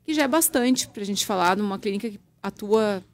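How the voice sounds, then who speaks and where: Southern lady dermatologist, calm explainer, radio studio guest. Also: mid-range voice, moderate pace. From southern Brazil. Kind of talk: radio interview.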